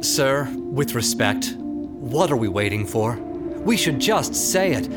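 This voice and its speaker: A man's voice: mid-range voice, sing-song, slow pace. An actor playing a hostile male corporate board member, accusatory, scornful and emphatic, in an audio drama. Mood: accusing. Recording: music underneath.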